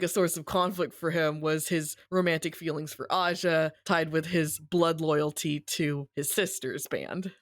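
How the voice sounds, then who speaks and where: A podcast host giving a casual, slangy and wry recap of a story in an animated way. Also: medium pitch, no echo, studio quality.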